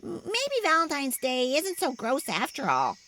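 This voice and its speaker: A woman voicing a silly, grumpy, excitable young male monkey in a storybook, whiny and exclamatory. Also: high-pitched, unhurried, slight background noise, pitched above the performer's own voice, heavily acted. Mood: softened. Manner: whiny, exclamatory, easily worked up.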